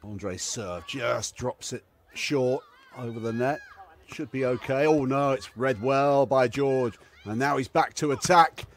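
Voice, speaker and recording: A man's voice: medium pitch, measured pace. A man giving live beach volleyball commentary in clipped phrases that swell on big points. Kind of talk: live sports play-by-play commentary.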